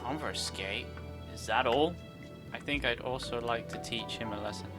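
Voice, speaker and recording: A woman's voice: extremely low pitch, moderate pace. A woman gamer casually reading out dialogue choices and reacting during a story quest. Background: game music.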